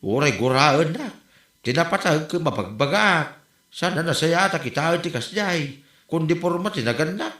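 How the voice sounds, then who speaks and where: A man's voice: fairly high pitch, expressive, moderate pace, fairly bright tone. Man acting a grumbling village gossip, echoey room. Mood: gossipy, grumbling. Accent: northern Philippine. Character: blunt, gossipy villager grumbling about a neighbour. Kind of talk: comedy audio drama acting. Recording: roomy, echoey voice recording.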